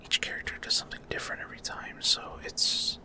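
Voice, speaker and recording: Male ASMR gamer, fully whispered and breathy, hesitant, off-the-cuff commentary. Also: fast talker. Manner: casual, rambling, hesitant. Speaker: gaming ASMR creator.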